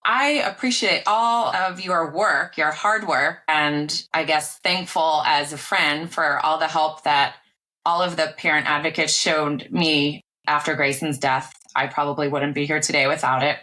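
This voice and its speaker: A woman's voice: low voice, steady tone, measured pace, pushed and bright, low-quality recording. An American woman hosting a podcast, talking conversationally as she interviews a guest. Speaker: podcast host.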